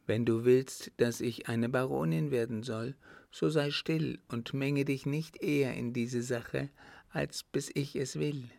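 A man's voice: mid-range voice, fairly even intonation, a little slow, vocal fry, darkish tone, no echo, voice pushed up. A man voicing a bold, cool-headed young woman in an audiobook, composed and defiant. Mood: urgent, commanding. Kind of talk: audiobook character voice.

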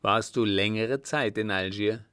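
A man's voice: fairly low pitch, unhurried, a bit bright, clean tone, dry recording, studio quality. A man voicing a grave, commanding old sheikh in an audiobook, speaking in a solemn, formal and emphatic way.

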